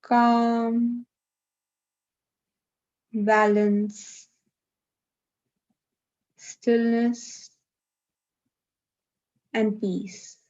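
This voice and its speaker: A woman leading a live guided meditation, giving gentle, unhurried instructions with long pauses, over a video call. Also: on the high side, level tone, muffled recording.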